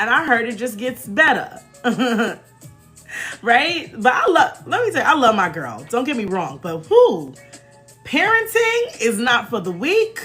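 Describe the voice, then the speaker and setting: Casting: American woman, 30s, high and very bright voice, playful livestream storyteller, chatty and teasing. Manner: animated, playful, teasing, laughs often. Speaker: livestream host. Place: small indoor room in front of a banner.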